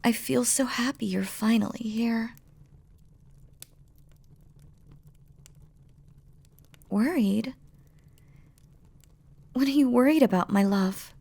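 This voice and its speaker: A woman playing a devoted, regal demon queen in a romantic audio roleplay, speaking softly and formally to her lover, often dropping to a whisper. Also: fairly high pitch, measured pace, creaky, slightly rough, heavily acted. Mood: soft, affectionate. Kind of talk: scripted romantic audio roleplay, spoken intimately to the listener.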